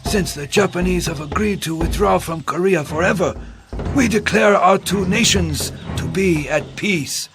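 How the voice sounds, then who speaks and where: Formal statesman making proclamations in a game, high voice, ceremonial, music behind. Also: unhurried. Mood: solemn. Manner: ceremonial, declamatory. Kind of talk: scripted video game cutscene acting.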